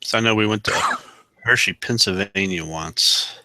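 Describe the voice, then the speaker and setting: A man's voice: lowish voice, normal speed, sharp tone, no room sound. A man from Ohio chatting casually, hesitant and full of ums and uhs. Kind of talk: casual group chat.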